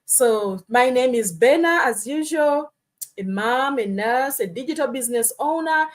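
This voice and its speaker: A woman's voice: high-pitched, animated, a little slow. Earnest Ugandan-born woman hosting a live. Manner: earnest, repeats "you know" and "hmm?". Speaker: live stream host. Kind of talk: motivational live talk.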